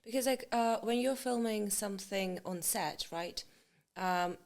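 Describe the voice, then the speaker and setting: A woman actress being interviewed, speaking English in a relaxed, halting way and slipping in Serbian words. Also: mid-range voice, gravelly. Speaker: actress, guest. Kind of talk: casual interview chat.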